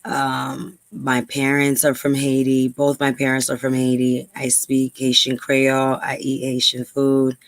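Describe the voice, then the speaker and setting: A woman's voice: very deep voice, completely flat delivery, a little slow, hardly any pauses, no echo. Earnest New woman vlogger. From New York, USA. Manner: earnest, many ums and repeats. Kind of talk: casual talk to camera, then reading aloud.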